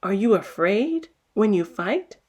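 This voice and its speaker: A woman's voice: medium pitch, sing-song, takes it slowly, darkish tone, slightly rough, full character voice. A woman voicing a curious, persistent young village girl in a storybook, earnest and questioning. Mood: curious, insistent.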